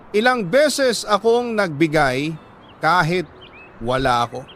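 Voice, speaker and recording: A man with a Filipino accent reading a dramatic love story as a radio storyteller, solemn and heartfelt, pausing between short phrases. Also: high voice, fairly bright tone, slight background noise.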